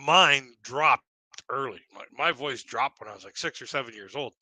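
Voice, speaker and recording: Bright, dry-sounding man telling comic stories. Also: animated, dry recording. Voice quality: deep voice, by his own account. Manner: rambling, halting, self-deprecating. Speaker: stand-up comedian and live streamer. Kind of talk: casual comic storytelling on a live stream.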